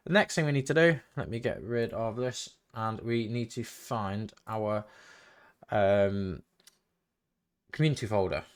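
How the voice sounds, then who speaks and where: A man giving a casual, hesitant software walkthrough, thinking aloud as he goes. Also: fairly low pitch, a little slow, clean tone. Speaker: tutorial host. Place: indoors, voice-over for screen recording.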